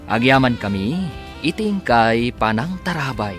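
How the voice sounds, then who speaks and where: A man's voice: mid-range voice, big pitch swings, measured pace, over music. A man with an Ilocano accent delivering dramatic scripted narration and credits as a radio drama announcer.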